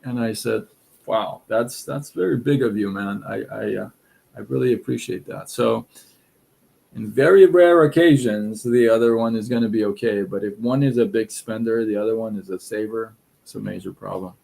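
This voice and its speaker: A middle-aged man from Iran with a Persian accent, hosting a casual live stream of advice talk in a relaxed, rambling way full of fillers and restarts. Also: lowish voice, normal speed, gravelly.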